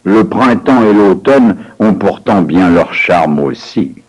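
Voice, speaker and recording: A man narrating and reading dialogue for a language course in a measured, announcing way. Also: low-pitched, expressive, a little mellow, poor audio. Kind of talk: scripted language lesson narration.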